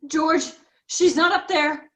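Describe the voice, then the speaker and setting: A woman's voice: high voice, steady tone, slow pace, a bit bright. An actress in a staged reading playing a fussy, worried grandmother over sixty. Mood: anxious. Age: older. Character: fretful grandmother, sharp and worried. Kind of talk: virtual staged play reading.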